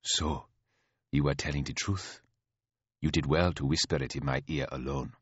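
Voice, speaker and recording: A man voicing a calm, imperious elder queen in an audiobook story, patient and chiding with quiet menace. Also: very deep voice, normal speed, breathy edge, no room sound, dull audio, voice pushed down. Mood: measured, gracious. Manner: patient, chiding, like a teacher with a naughty pupil.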